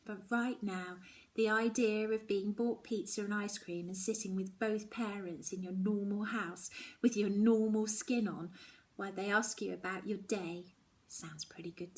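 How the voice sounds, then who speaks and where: Woman reading a children's novel expressively. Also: mid-range voice. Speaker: storybook reader. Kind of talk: expressive first-person children's novel narration.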